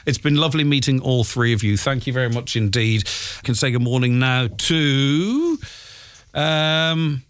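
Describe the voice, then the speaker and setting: A man's voice: mid-range voice, animated, unhurried, a bit bright, clean tone. Chatty man hosting radio. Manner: chatty and encouraging, with filler-heavy restarts. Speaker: radio host. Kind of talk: live radio interview with festival contestants.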